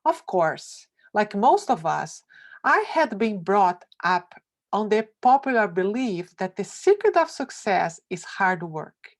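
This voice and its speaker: A woman reading a motivational essay aloud, expressive and emphatic. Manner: slow, emphatic, with wide swings of pitch. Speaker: reader of a success essay.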